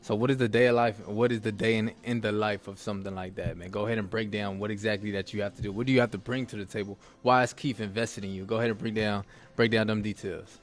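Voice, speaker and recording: A man's voice: on the low side, steady tone. A man with a Louisiana accent hosting a casual interview, upbeat and conversational, an athlete asking a guest about his job. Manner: upbeat, fast-talking, loose and conversational. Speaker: host and arena football player.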